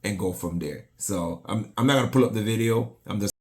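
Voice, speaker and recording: A man's voice: fairly low pitch, a little fast. A man from Jamaica with a Jamaican accent, chatting casually to his channel about a dancehall song with lots of fillers and bits of patois. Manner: animated, slips into patois, many fillers. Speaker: YouTuber reacting to music. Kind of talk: casual reaction vlog talk.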